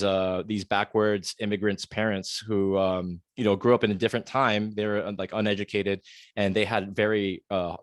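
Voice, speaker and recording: A man's voice: on the low side, dry recording, studio quality. A man in his thirties from the United States, hosting a solo podcast and talking earnestly and confessionally, with lots of fillers and restarts. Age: 30s. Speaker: podcast host. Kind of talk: solo reflective podcast monologue.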